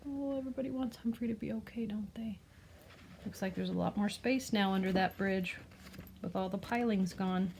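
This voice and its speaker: Female read-aloud teacher, mid-pitched voice, expressive storytelling with explanatory asides for children, slight background noise. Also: normal speed. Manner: explains words and asks the listener gentle questions. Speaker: teacher reading a picture book aloud to children.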